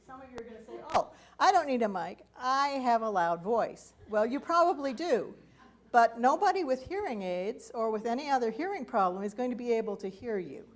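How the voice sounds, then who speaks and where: A woman deacon giving a conversational sermon in a church and inviting the congregation to share. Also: fairly high pitch. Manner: warm, conversational preaching to a congregation. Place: church sanctuary.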